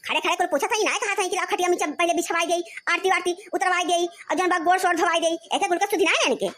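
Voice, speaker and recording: A woman's voice: very high-pitched, fairly even intonation, fast talker, a bit bright, slight background noise. A woman with an Awadhi accent voicing a bossy, easily offended elder sister in a rural family comedy cartoon, scolding and complaining. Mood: indignant scolding. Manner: scolding, complaining, rhetorical questions.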